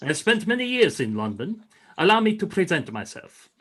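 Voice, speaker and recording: A man's voice: sits high, very animated, a little fast, fairly bright tone, full character voice. A man playing a courtly Russian frigate commander in a radio play, formal, gracious and grand in manner. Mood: gracious, proud. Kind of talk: radio play acting, 1940s style.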